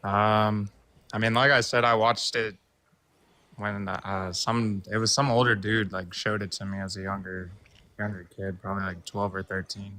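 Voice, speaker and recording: Guy in his 20s calling in, lowish voice, bright edge, pauses a lot and says um. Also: little pitch movement, dry recording. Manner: halting, soft-spoken, many fillers. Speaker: caller. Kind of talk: casual call-in talk.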